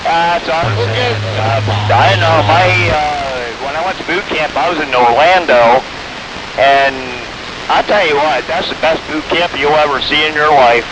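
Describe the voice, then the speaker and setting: A man's voice: on the high side, normal speed. Fifty-year-old American man on noisy CB radio. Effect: CB radio transmission. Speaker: CB radio operator, military veteran. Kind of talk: casual, rambling radio chat. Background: clear radio static and noise.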